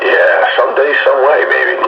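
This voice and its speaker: A man's voice: mid-range voice, lively intonation, normal speed. A man in a casual technical chat over the radio, speaking as an amateur operator. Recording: muffled, echoey radio signal with heavy static.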